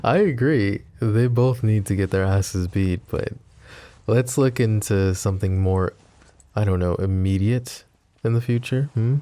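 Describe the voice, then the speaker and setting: A man voicing a possessive, protective mafia boss in an ASMR roleplay, talking in a calm, confiding way with casual swearing. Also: fairly low pitch, a little slow, dry recording, close to an everyday voice. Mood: candid. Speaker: voice actor.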